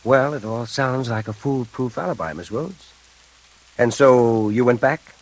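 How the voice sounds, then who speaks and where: A man playing a measured, skeptical prison warden in a 1940s radio drama, questioning calmly and deliberately. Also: mid-range voice, natural voice, poor audio.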